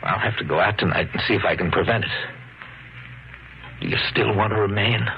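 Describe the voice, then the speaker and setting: An actor playing a brooding, bitter husband under suspicion, ominously calm, in 1950s radio mystery style. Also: male voice, lowish voice, brisk, vocal fry, lo-fi sound. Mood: challenging. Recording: noisy, band-limited old radio recording.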